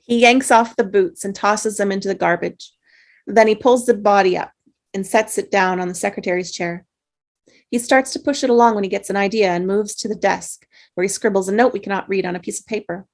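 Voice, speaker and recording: Woman reading out movie script directions, middle-pitched, trips on words and repeats them. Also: a little fast. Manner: stumbles and rereads phrases. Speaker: narrator.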